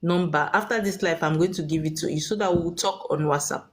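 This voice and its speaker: A woman's voice: on the low side, fast. A woman hosting a live call-in chat, speaking in a directive way to the people who join. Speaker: livestream host giving spiritual consultations. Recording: noisy livestream with clicks.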